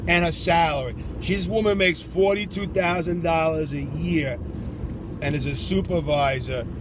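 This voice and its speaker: A man's voice: a little high, steady tone, moderate pace, noisy background. A man giving child support advice in a casual, rambling monologue, combative in tone and full of "you know". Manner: combative, repeats himself, heavy with "you know".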